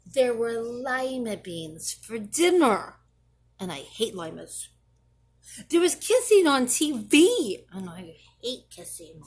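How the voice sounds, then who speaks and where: A woman reading a picture book aloud to children, theatrically, with drawn-out emphasis. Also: fairly high pitch, big pitch swings, slightly rough. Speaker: children's story reader. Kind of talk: expressive read-aloud picture book narration.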